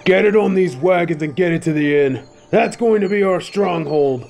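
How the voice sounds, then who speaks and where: A man's voice: sits high, normal speed, vocal fry, a little mellow, faint music behind. A man voicing a brash, menacing wolf bandit leader in an audio roleplay, taunting and swaggering as he orders his gang about. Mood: sneering menace. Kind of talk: scripted fan audio roleplay acting.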